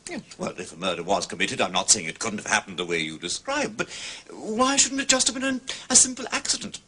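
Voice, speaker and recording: An actor playing a courteous but sceptical chief constable, formal and questioning in a detective drama. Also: male voice, on the low side, big pitch swings, fairly quick, sharp tone, slightly rough, full character voice. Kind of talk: British detective drama acting.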